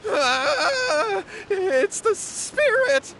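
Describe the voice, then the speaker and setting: A man's voice: extremely high pitch, sing-song, extremely slow, pushed and bright, a little hiss or hum, exaggerated voice. An actor playing an anxious, contrite young man in a video game, earnest, fretful and apologetic. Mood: panicked. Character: anxious, contrite young schemer protecting his brother.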